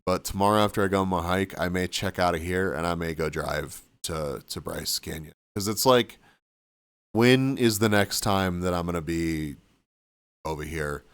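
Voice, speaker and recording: A man with a deep, low voice talks loosely to his live stream chat, rambling and trailing off. He sounds sniffly and a little hoarse, like he's losing his voice. The sound is dry and close. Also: normal speed, a bit bright, no echo. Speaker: livestreamer. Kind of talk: casual chat with stream viewers. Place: cabin room.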